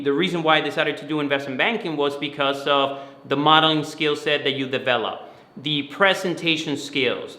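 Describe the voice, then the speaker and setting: A man with a bright, forceful, slightly high voice explains himself earnestly in a conversational interview. He often doubles back on words as he lays out his points, and the room adds a clear echo. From Dominican Republic, moved to New York City at eleven. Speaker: guest, finance mentoring company founder. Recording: roomy, echoey sound.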